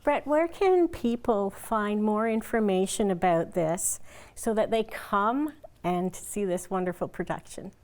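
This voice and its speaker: A woman's voice: medium pitch, measured pace, darkish tone. A woman hosting a community interview in a polite, conversational manner. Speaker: interview host.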